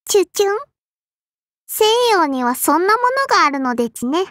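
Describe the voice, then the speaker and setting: A woman's voice: sits high, animated, moderate pace, fairly bright tone, no echo, clean studio sound. A woman voicing a small, strict but kindly and dutiful sparrow girl for an anime game, in a childlike lisping voice with formal, cutesy phrasing. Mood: prim, admonishing. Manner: formal yet cutesy, chirping verbal tics. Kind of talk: Japanese anime game character lines.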